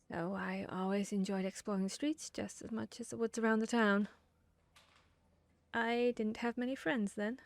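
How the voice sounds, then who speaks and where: A woman playing a guarded, bashful vampire hunter in an intimate ASMR roleplay, speaking earnestly and haltingly. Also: medium pitch, lightly acted. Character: guarded, bashful female vampire hunter confessing tender feelings. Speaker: audio roleplay voice actor.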